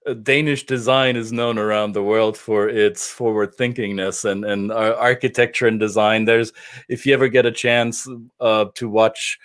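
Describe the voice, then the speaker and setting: A man's voice: fairly low pitch, fairly even intonation, normal speed, fairly bright tone, clean tone, no echo. European man hosting, dry close sound. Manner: curious, leading questions. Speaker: podcast host. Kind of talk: interview conversation.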